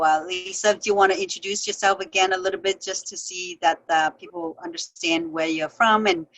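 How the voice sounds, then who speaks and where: A woman's voice: fairly low pitch, a bit bright, low-quality recording. A woman hosting an online show, speaking in a casual, loose way. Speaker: host.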